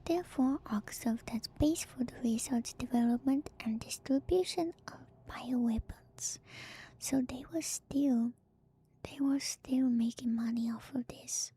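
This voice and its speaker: A woman streamer speaking in a soft, breathy ASMR whisper, reading aloud and adding casual, musing comments. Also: high voice, unhurried. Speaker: streamer and host. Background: music.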